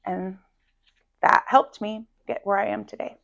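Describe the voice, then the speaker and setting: Loud, lively woman teacher chatting. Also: medium pitch, measured pace, gravelly, dull audio. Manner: loud, energetic storyteller. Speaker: Spanish teacher, interview guest. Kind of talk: casual interview chat. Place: high school classroom.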